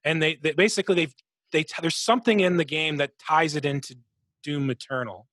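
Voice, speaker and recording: A man co-hosting a casual gaming podcast, thinking aloud in a halting way and often repeating his words. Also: a little high, a bit bright, dry recording. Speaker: podcast co-host.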